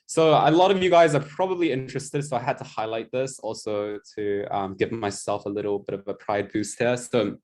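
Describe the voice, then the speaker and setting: A man's voice: mid-range voice, brisk, hardly any pauses, a little mellow. A young man in his twenties with a New Zealand accent, giving a casual, self-mocking presentation over a video call with lots of ums. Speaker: guest presenter, tutor.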